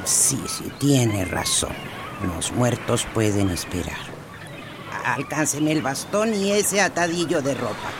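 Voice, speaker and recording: An actress playing a weary, stubborn old village midwife by the sea in a radio drama, plaintive and fatalistic. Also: female voice, rumbling low, expressive, takes it slowly, full character voice. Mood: softening. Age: older. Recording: noisy outdoor ambience.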